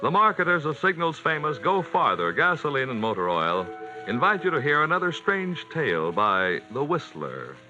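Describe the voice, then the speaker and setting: Old timey radio announcer guy selling the sponsor, very lively and polished, muffled vintage sound. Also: on the high side, moderate pace, music in the background. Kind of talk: 1940s radio advertising announcing. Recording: dull vintage radio recording.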